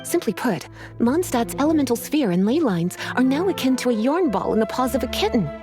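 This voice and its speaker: A woman's voice: sits high, very animated, fairly quick, music in the background. A woman voicing a languid, flirtatious witch librarian in a video game, coy and teasing.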